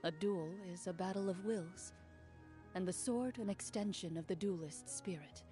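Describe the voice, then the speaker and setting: A woman voicing a proud, composed champion duelist in a video game, formal and deliberate. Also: on the low side, mellow, lightly acted. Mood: composed, confident. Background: background music.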